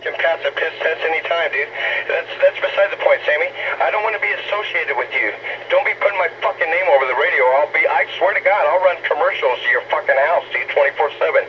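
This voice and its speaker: A man's voice: on the high side, fast. An American man arguing over CB radio in an aggressive, insulting, profane manner. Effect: CB radio transmission. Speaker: CB and ham radio operator. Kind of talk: argumentative radio banter. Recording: muffled distant CB radio, heavy static.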